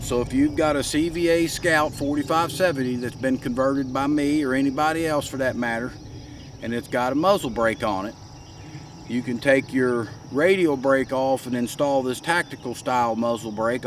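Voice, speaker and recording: Hoarse congested man demonstrating in his shop. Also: medium pitch, distant mic, a little hiss or hum. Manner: folksy, plain-spoken, explains step by step. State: hoarse, congested with a head cold. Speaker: gunsmith demonstrating a product. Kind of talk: casual workshop demonstration.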